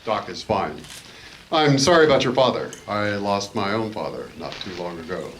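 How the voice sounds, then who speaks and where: A man acting in a live radio play as a calm, polite, authoritative adventure hero. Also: fairly low pitch, moderate pace, a little hiss or hum, lightly acted, low-quality recording.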